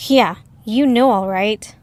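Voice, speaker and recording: A woman playing a grumpy, sharp-tongued female adventurer with dry sarcasm in an audio drama. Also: mid-range voice, slow, no room sound, natural voice. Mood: skeptical.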